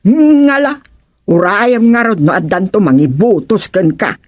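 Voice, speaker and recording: Lady playing naggy older ilocano wife, teasing, medium pitch, close dry sound, radio drama. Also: animated, a little slow, darkish tone, no room sound. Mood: nagging.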